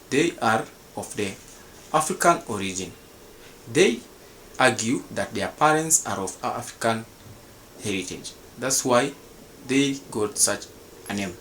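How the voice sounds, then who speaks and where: A man hosting his own channel, reading a formal, repetitive script with pauses and restarts. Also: medium pitch, fairly bright tone, slight background noise, poor audio. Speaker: channel host. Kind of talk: scripted reaction-channel narration.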